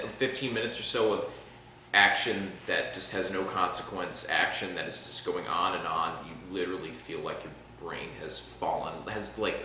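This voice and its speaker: Male film reviewer, lowish pitch, sarcastic rambling delivery, very reverberant room with faint hiss. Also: sharp tone. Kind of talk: casual chat. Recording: very echoey room, faint noise.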